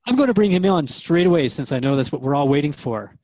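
A man's voice: on the high side, very animated, fast talker, darkish tone, dry recording, lo-fi sound. A man hosting a radio interview program, conversational and reflective as he addresses listeners and his guest. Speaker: radio host.

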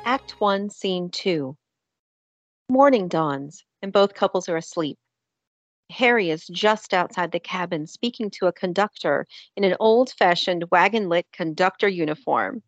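A woman's voice: fairly low pitch, animated, a little slow, dry recording, lo-fi sound, faint music behind. A woman narrating a scripted fantasy drama.

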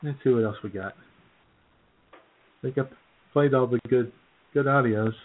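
A man with an average-pitched voice sounds squeezed through a narrow, phone-like band. He banters casually as a comedy radio co-host, with a sardonic, crude streak. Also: normal speed.

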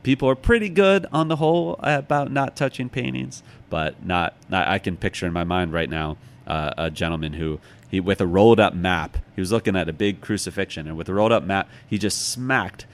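A man's voice: low voice, animated, brisk, few pauses. An American man talking in a conversational interview, explaining things reflectively as a guest. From Chicago, United States. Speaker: interview guest, author and former museum guard. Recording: clearly noisy background.

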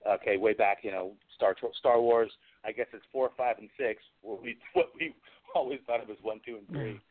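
A man's voice: mid-range voice, fairly even intonation, moderate pace. A man chatting casually as a guest in a radio interview, rambling through his opinions. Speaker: guest, film special effects artist. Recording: band-limited phone-like radio sound.

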